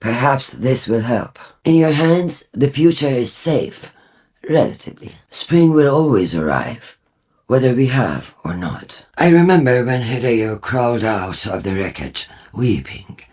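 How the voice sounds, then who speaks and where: An actor voicing a solemn, prophetic war cult leader in a video game, speaking formally and deliberately with a husky voice. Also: male voice, medium pitch, darkish tone. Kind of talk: scripted video game character dialogue.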